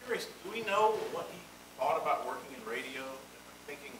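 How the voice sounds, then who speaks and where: A man's voice: medium pitch, animated, a little fast. A man in the audience asking a casual question, heard far from the microphone in a noisy room. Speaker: audience member. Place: hotel conference room. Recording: distant, noisy room pickup.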